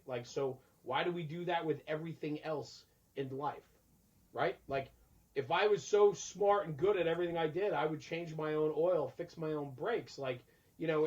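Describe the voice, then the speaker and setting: A man's voice: fairly high pitch, dull audio. A man hosting a casual podcast conversation, speaking earnestly and reflectively, often posing rhetorical questions. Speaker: podcast host.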